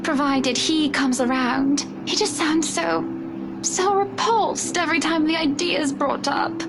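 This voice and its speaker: High-pitched, genteel society wife, music behind. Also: female voice, measured pace. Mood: worried, doubtful. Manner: genteel, dramatic, affectionate. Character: anxious, pampered high-society pregnant wife, gracious and insistent. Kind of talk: visual novel voice acting.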